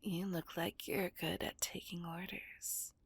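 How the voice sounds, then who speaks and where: A woman playing a menacing, dominant villain kidnapper in a whispered ASMR roleplay, commanding and mocking in a breathy hush close to the microphone. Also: on the low side, flat delivery, slow, dry recording, full character voice. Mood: smug, taunting.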